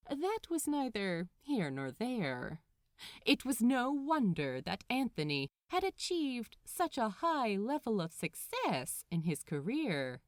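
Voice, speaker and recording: A woman narrates fiction with highly varied, lively intonation. She takes her time over each phrase, shaping it for drama. The sound is dry, with no room echo. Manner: animated, measured, dramatic phrasing. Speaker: podfic narrator. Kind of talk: expressive scripted storytelling narration.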